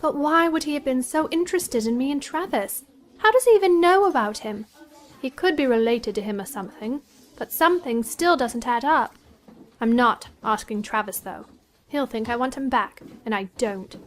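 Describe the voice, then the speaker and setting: A woman's voice: high-pitched, animated, normal speed. A woman playing an anxious, self-doubting British teenage girl, talking informally and fretfully in an audio drama. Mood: puzzled, annoyed. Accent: British English. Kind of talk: audio drama acting with inner monologue.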